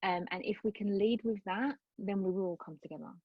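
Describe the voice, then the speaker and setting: A woman's voice: mid-range voice, fairly quick, husky, no room sound. Earnest woman on a muffled call. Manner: earnest, rambling with restarts. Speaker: guest, coach and speaker. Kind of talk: casual interview chat.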